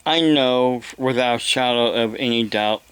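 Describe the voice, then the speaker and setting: An older man with an American accent delivers a rambling monologue, halting and deliberate, often repeating his phrases. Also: medium pitch, a bit bright, clean tone, no room sound, poor audio. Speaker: evangelist vlogger.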